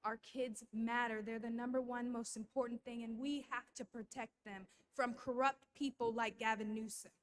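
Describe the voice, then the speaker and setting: A woman's voice: on the high side, fairly even intonation, normal speed, few pauses, slight background noise. Woman making conspiratorial public comment. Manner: conspiratorial, rhetorical tag questions. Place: school board meeting room.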